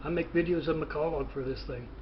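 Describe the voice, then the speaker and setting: Halting, higher-voiced man on noisy phone call. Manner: halting, hesitant, rambling. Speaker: interviewee. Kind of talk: rambling phone interview.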